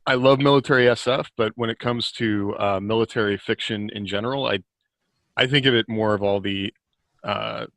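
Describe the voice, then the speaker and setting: A man talking casually on a group podcast as a guest author, reflective and halting. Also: lowish voice, vocal fry, a bit bright, dry recording.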